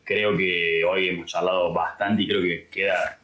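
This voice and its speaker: A man's voice: low voice, little pitch movement, unhurried, sharp tone. An Argentine man hosting a casual interview, talking in an informal conversational way. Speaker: host. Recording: echoey room with background voices.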